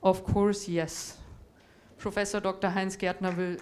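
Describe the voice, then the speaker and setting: A woman's voice: medium pitch, level tone, unhurried, a little mellow, a little hiss or hum. A woman from Europe moderating a conference panel, giving formal, courteous introductions of the speakers. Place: conference hall.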